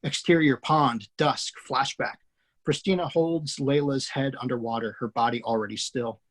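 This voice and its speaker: A screenwriter hosting a table read over a video call, reading scene directions aloud. His voice is slightly husky and sits at an ordinary middle pitch, delivered plainly and steadily. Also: moderate pace. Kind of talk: reading stage directions, then casual chat. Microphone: video call mic.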